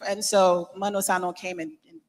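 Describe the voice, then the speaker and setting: Woman panelist, animated storyteller, long hall reverb. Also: on the low side, moderate pace, slight background noise. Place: event venue with a large hall. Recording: echoey hall.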